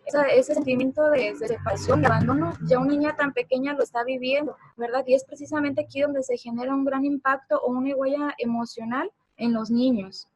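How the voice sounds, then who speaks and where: A woman's voice: high-pitched, steady tone, fairly quick, hardly any pauses, a little mellow, husky, rough recording. A woman presenting an informal online lecture, explaining things and often checking for agreement with short tag questions. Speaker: presenter of a parenting talk.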